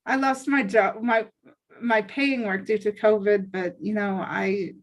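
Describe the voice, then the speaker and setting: A woman speaks at a medium pitch. She presents herself as a performer in an earnest and assertive manner, in a casual style. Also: fairly even intonation, a little slow. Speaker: actress and aspiring voice actor introducing herself. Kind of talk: casual self-promotion in an online group.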